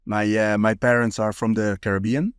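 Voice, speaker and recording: A man's voice: fairly low pitch, steady tone, normal speed, clean tone, dry recording, studio quality. A young man from the Netherlands, a street photographer talking casually as a guest, with many fillers and restarts. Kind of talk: casual interview chat.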